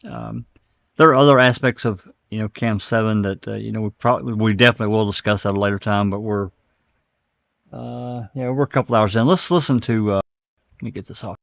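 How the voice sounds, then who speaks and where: A man hosts a casual talk stream in a low voice, thinking aloud and rambling from point to point. The audio is slightly dull, like a muffled online stream. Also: steady tone, fairly quick, gravelly, a bit bright, no room sound. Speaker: live stream host. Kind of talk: casual commentary on case records.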